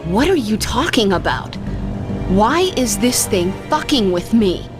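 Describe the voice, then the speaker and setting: An actress playing a young, impatient crime heiress in a video game, greedy, brash and defiant. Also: female voice, mid-range voice, very animated, measured pace. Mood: annoyed. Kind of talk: dramatic video game voice acting. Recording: loud music under the voice. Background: loud music.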